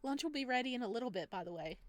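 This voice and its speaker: Woman playing a visual novel cabin man, higher pitch, dry sound, friendly, eager, gently teasing. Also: animated, very fast, no room sound, close to an everyday voice. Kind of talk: visual novel character reading.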